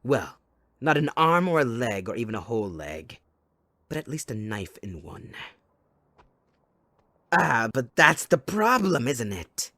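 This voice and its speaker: A man playing a gleeful, sadistic supervillain kidnapper in an audio roleplay, with a theatrical, mocking delivery that swings between soft and loud. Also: mid-range voice, big pitch swings, a little slow, long pauses, no echo, full character voice. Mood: sly, musing. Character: sadistic, gleeful male supervillain kidnapper taunting his captive sidekick.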